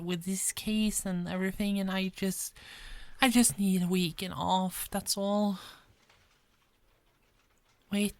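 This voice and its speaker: A frazzled, friendly female police detective, acted by a woman in a one-sided ASMR roleplay. Her voice sits a little low and moves slowly, stumbling and repeating words in an exasperated, apologetic way. The sound is very dry, with no room around it. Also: dry recording. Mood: apologetic, weary. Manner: hesitant, stammering, repeats words.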